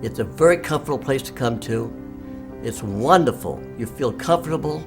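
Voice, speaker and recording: A man's voice: mid-range voice, expressive, moderate pace, vocal fry. A man in his nineties giving an upbeat, emphatic interview testimonial as a patient. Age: 90s. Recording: music playing behind the voice.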